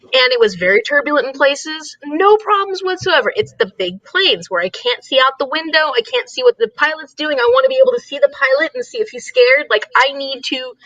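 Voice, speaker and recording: A woman's voice: sits high, animated, fairly quick, sharp tone, no echo, dull audio. A woman co-hosting an online reading livestream, chatting casually and repeating herself for emphasis. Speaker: co-host of online reading sprints.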